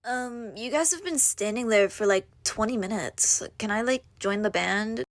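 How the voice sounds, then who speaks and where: A woman's voice: fairly high pitch, moderate pace, no echo, lightly acted. Woman voicing a bored, mumbly teen girl.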